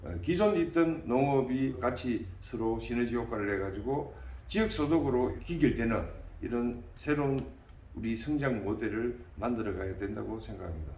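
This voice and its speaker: The recording is roomy and a little distant, with faint noise behind. A man in his late sixties speaks at a middling pitch. He answers like a candidate in an interview, earnest and deliberate, with halting phrasing. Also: darkish tone. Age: late 60s. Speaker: political candidate being interviewed.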